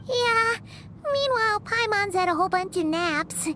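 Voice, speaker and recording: A woman voicing a tiny, chirpy female companion who talks about herself in the third person, in a childlike squeak. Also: lively intonation, measured pace, bright, forceful tone, a little hiss or hum, full character voice. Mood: excited. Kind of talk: video game voice acting.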